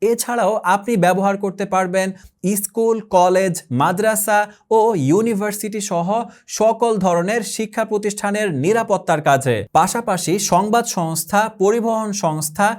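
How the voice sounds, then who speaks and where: A man with a Bangladeshi accent reading a scripted product promo as an advertising announcer, formally and in short measured phrases. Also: sits high.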